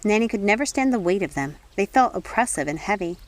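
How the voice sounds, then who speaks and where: A woman's voice: mid-range voice, expressive, fast. A woman reading a story aloud as scripted audiobook narration. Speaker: audiobook reader.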